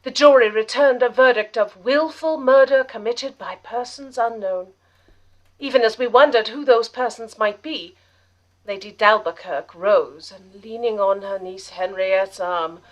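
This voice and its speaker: A woman's voice: medium pitch, a little slow, lightly acted, poor audio. A woman playing a sympathetic detective's sidekick, narrating a period mystery in a radio drama. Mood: sympathetic, dramatic.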